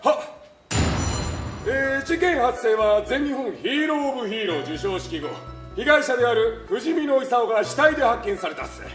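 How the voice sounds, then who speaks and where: An actress playing an eager, deferential, blunt male police detective giving testimony in a brisk, slangy stage manner. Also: on the high side, lively intonation, natural voice. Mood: dutiful. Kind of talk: theatrical stage acting. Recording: echoey stage with clear music behind.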